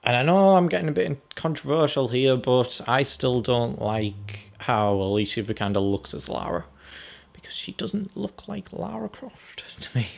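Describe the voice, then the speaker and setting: Creaky-voiced man chatting about films. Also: medium pitch, animated, moderate pace. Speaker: film and game review vlogger. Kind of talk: casual opinionated video commentary.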